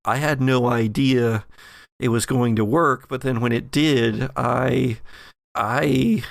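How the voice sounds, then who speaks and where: A man playing an offhand, knowing ex-FBI occult scholar who explains things haltingly in a tabletop audio drama. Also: mid-range voice, gravelly, dry recording, studio quality. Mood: awed.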